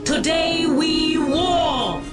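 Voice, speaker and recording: An actress playing a solemn, commanding alien war seer in a video game, speaking gravely and formally with theatrical weight. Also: female voice, high voice, extremely slow, loud music behind the voice. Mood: rallying, solemn. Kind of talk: dramatic video game voice acting.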